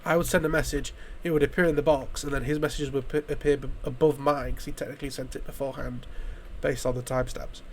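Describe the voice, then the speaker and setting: Hoarse British man rambling over gameplay. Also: medium pitch, flat delivery, fast talker, few pauses, noisy background. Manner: rambling, swears often, frequent restarts. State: hoarse from a chest infection. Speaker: gaming YouTuber. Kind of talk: casual commentary while playing a game.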